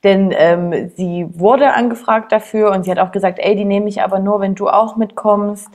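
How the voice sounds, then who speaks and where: A woman's voice: medium pitch, fairly even intonation, normal speed, a little mellow. A woman in her twenties co-hosting a show, talking informally and with firm opinions in slangy language. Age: 20s. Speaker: co-host of an online show. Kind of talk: casual chatty discussion of reality TV. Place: small indoor room at a desk.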